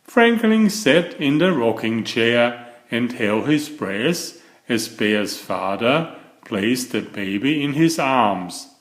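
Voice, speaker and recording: A man reads a children's picture book aloud in an expressive storytelling manner. His pitch moves around a lot and his pace is unhurried. The room adds an audible echo. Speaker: storybook reader and children's author.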